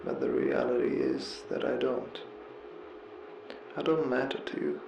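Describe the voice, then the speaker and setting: A man with a heavily creaky, gravelly voice speaks slowly in an earnest, confessional monologue. He halts and repeats phrases as he reflects, in a roomy, echoing space with music underneath. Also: medium pitch, expressive, lo-fi sound, noisy background. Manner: halting, repeats words and phrases, pausing mid-sentence.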